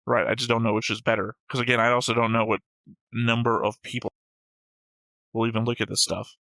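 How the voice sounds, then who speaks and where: Bright-voiced, slightly gravelly man chatting. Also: mid-range voice, flat delivery, a little fast, no echo. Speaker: reaction show host. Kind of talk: casual discussion of an anime episode.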